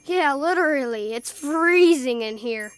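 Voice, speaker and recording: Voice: high-pitched, expressive, unhurried, faint music behind. A young performer playing a skeptical, blunt, sarcastic boy in a radio drama. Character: skeptical, wisecracking boy named Jimmy. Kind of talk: old-time radio drama acting.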